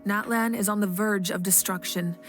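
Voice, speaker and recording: A woman's voice: medium pitch, fairly even intonation, moderate pace, fairly bright tone, soft background music, lightly acted. A woman playing a composed, commanding female leader in a dramatic video game.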